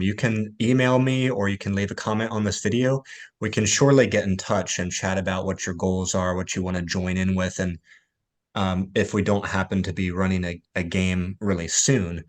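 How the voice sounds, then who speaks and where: A man with a low voice hosts an online language game. He talks in a relaxed, chatty way, often pausing on fillers. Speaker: host and game master. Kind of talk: casual online chat.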